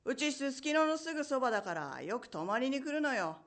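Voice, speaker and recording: A woman's voice: high voice, animated, a little fast. An actress playing a blunt, teasing young woman in an amateur radio drama. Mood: chatty, teasing.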